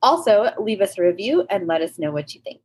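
A woman's voice: medium pitch, sing-song, brisk, a little mellow, breathy edge. A woman co-hosting a podcast, chatting casually with short, agreeable replies and reading the show's sign-off plug. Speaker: podcast co-host.

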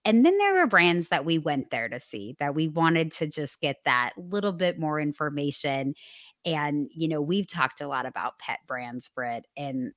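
A woman co-hosting a business podcast, talking casually and at length in an upbeat, loud way, a little hoarse. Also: deep voice, expressive, moderate pace, dry recording, studio quality. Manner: loud, upbeat, talks through ideas at length. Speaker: podcast co-host.

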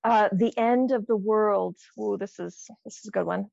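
A woman's voice: medium pitch, moderate pace, gravelly, darkish tone, dry recording. A woman co-hosting a podcast, reading out quiz items and musing encouragingly in a casual way, on slightly muffled audio. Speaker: podcast co-host, quiz reader.

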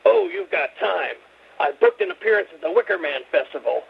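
An actor playing an irate, crude record-label boss who barks sarcastically down a phone line in a comedy radio play. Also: male voice, high voice, wildly swooping pitch, fairly quick, creaky, a little hiss or hum, exaggerated voice. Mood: blustering, sardonic. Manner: bullying, sarcastic barking. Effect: telephone filter. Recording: muffled telephone-style sound.

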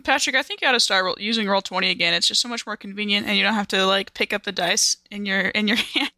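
A woman's voice: medium pitch, fast talker, pushed and bright, dry recording. A woman playing in a tabletop game podcast, chatting in casual, teasing banter.

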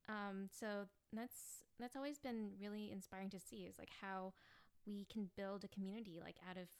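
A young woman from the Chicago suburbs, a guest in a podcast interview, speaking earnestly and reflectively with pauses. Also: medium pitch, fairly even intonation, clean tone. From Chicago suburbs, US Midwest. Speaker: campaign manager, guest.

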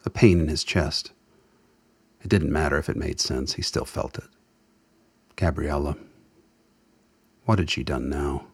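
A man giving dramatic scripted narration for a radio play in a husky voice. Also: rumbling low, unhurried, long pauses, dry recording.

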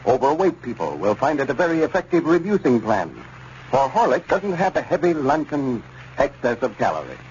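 A man with an American accent reading a scripted sponsor message as a 1930s radio announcer, in a polished, measured way. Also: mid-range voice, big pitch swings, a little mellow. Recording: muffled, noisy 1930s radio recording.

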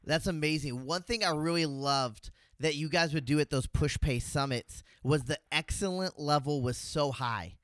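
Bright, gushing male podcast host. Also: a little high, normal speed, hardly any pauses, no room sound. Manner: gushing, upbeat. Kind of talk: enthusiastic podcast hosting.

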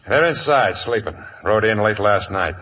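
Western radio gang boss: gruff, raspy, sharp-edged, gives orders and trusts nobody. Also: male voice, lowish voice, lively intonation, normal speed, heavily acted. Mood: businesslike. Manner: curt, commanding. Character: gruff, suspicious male outlaw gang boss. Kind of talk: 1950s Western radio drama acting. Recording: vintage 1950s radio broadcast.

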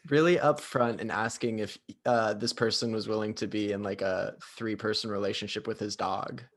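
A man's voice: on the low side, fairly even intonation, normal speed. A man chatting casually and jokingly as a podcast cast member. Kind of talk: casual comedic chat.

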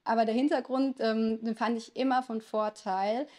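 A woman in her thirties who grew up in Lower Saxony speaking Italian first, answering interview questions as a lawyer in a chatty way with frequent hesitation fillers. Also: steady tone, moderate pace, darkish tone. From Lower Saxony, Germany. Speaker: lawyer, podcast guest.

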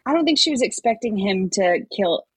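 A woman's voice: mid-range voice, expressive, fairly quick, slightly rough, no room sound. A woman co-hosting a podcast, chatting casually through a recap. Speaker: podcast co-host.

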